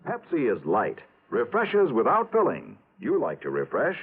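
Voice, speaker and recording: A man's voice: medium pitch, wildly swooping pitch, measured pace, slightly rough, phone-quality sound, exaggerated voice. A man playing an upbeat announcer in a vintage radio commercial. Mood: upbeat selling. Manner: upbeat salesmanship. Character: bright-selling male radio pitchman. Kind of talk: vintage radio advertising pitch.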